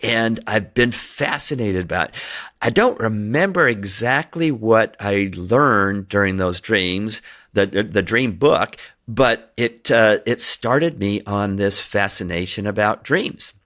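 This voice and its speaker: Chatty Hungarian-born man, lowish voice. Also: slow pace, a bit bright. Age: middle-aged. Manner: rambling, chatty, laughs often. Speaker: podcast host. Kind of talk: casual solo podcast monologue.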